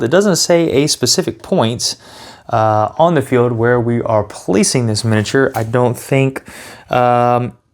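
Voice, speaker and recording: A man hosting a tabletop game tutorial in a casual style, talking viewers through each step and reading out story passages. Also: mid-range voice. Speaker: host teaching a board game.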